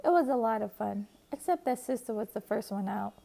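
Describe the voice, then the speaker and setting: Woman reading a children's book, expressive, lively, mellow tone, raised pitch. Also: brisk, vocal fry. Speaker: storybook reader. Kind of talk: children's book read-aloud.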